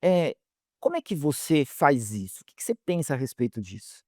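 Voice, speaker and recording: A man from Brazil hosts a conversation. His voice sits slightly high and he thinks aloud, with "eh" fillers and restarts as he builds long questions. The recording is close and dry. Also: sing-song, a little fast, dark tone, no echo. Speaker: interviewer host. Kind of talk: casual interview conversation.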